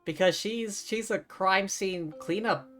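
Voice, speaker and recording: A woman's voice: medium pitch, slow pace, fairly bright tone. A woman streaming a game, reacting casually to the story in an offhand, conversational way. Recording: game music behind the voice.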